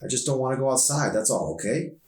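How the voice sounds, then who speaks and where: Man as teenage boy, storybook character, anxious, defensive, awkward, lifted pitch, echoey room. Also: animated, quick pace, darkish tone, natural voice. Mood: uneasy. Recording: echoey room, distant mic.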